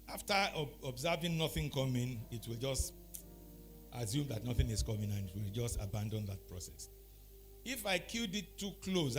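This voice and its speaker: A middle-aged or older man with a Nigerian accent gives an anecdotal talk, explaining deliberately in short phrases with pauses. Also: fairly high pitch. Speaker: speaker giving a talk.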